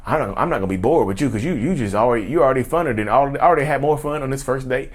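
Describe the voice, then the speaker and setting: A man's voice: mid-range voice, very fast, natural voice. A man voicing a brazen woman on a first date who admits to serial cheating, in a casual drawl full of fillers.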